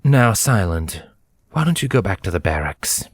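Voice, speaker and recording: A man voicing a male pony superior officer in a storybook reading, smooth and silvery, with a patient, placating, measured manner. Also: sits low, no echo. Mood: calmly authoritative. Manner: placating, measured, condescending calm. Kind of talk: storybook character voice in fan fiction reading.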